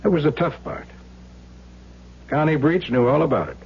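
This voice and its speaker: A man's voice: fairly high pitch, sing-song, gravelly. An American man playing a skeptical police lieutenant in an old radio crime drama, questioning with dry, knowing sarcasm. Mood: sardonic, knowing. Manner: deliberate, dryly sarcastic, knowing. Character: skeptical, sardonic male police lieutenant interrogating a suspect. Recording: dull, noisy old radio recording with hum.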